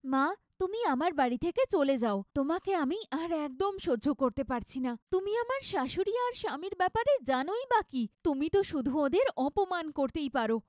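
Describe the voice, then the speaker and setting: Fiery, very high-pitched young wife. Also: female voice, very animated, brisk, a little mellow, no echo, studio quality. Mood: fed up. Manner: indignant, scolding. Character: fiery young married daughter, proud and defensive. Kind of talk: cartoon story voice acting.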